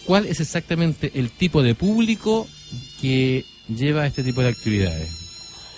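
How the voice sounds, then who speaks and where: A man's voice: on the high side, animated, measured pace. A man from Chile with a Chilean accent, hosting a conversational radio interview. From San Antonio, Chile. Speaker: radio host interviewing a guest. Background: background music.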